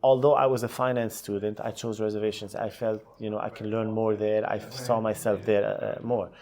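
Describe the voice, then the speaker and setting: A man's voice: lowish voice, little pitch movement, normal speed, darkish tone. A middle-aged man, telling his career story conversationally as an interview guest. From Lebanon. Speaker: podcast guest, hotel executive.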